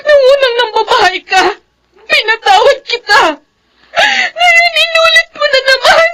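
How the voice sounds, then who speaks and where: An actress playing a young wife in a radio drama who confronts her unfaithful husband, shouting through tears. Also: very high voice, measured pace, exaggerated voice. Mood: tearful fury. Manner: tearful shouting in anger. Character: wronged young wife, long-suffering. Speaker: older sister.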